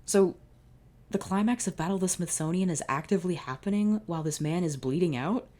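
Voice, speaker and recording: A woman reading fanfiction aloud in a deadpan narration, breaking off for exasperated sarcastic asides. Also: fairly low pitch, brisk. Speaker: fanfiction reader.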